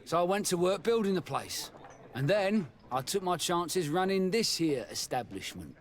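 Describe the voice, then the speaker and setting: A man's voice: high-pitched, very animated, moderate pace. A man playing a chatty, sly ex-soldier tavern keeper in a video game, with a folksy manner. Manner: folksy, colloquial. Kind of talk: video game dialogue acting.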